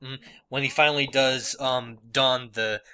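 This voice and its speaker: A man giving casual audio commentary as a dub producer, talking in a halting way with frequent hedges. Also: medium pitch, steady tone, sharp tone.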